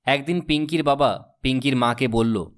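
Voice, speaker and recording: A man's voice: mid-range voice, moderate pace. A man reading scripted storybook narration as the narrator of a cartoon tale.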